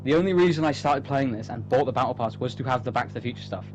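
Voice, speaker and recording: A man's voice: mid-range voice, animated, fast. A man chatting casually as the author at a table read of his own story, explaining it in rambling asides. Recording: muffled lo-fi mic, loud background hum.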